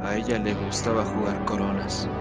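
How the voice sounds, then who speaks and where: A man's voice: lowish voice, monotone, moderate pace, over loud music, lightly acted. A man playing an anxious, earnest soldier in an audio drama, troubled and hesitant as he confides in his sergeant. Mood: subdued, hesitant. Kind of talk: Spanish-language audio drama acting.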